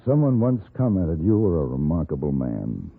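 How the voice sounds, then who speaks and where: An actor playing a composed, probing gun-for-hire hero in an old radio western, questioning in a commanding way. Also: male voice, rumbling low, big pitch swings, moderate pace, exaggerated voice. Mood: cool, businesslike. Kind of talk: 1950s radio western acting. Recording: muffled, rough old radio recording.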